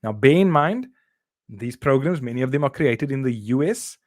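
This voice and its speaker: A man's voice: medium pitch, animated, normal speed, no echo, studio quality. A middle-aged South African man teaching a revision lesson, explaining step by step and checking in with "okay?" and "right?". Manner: uses fillers and repeats words while explaining.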